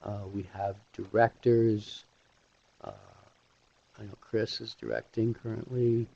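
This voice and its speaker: A middle-aged man giving an informal online presentation as an instructor, speaking haltingly with pauses mid-sentence through a headset mic. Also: fairly low pitch, little pitch movement, vocal fry, mellow, slightly rough, no room sound. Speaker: animation instructor hosting a webinar. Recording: dull, lo-fi webinar audio.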